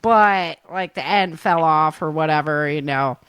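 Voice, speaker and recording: A woman chatting casually as a podcast host, telling things in an animated, conversational way with quoted asides. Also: low voice, measured pace, smooth, no room sound. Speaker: audio drama host and editor.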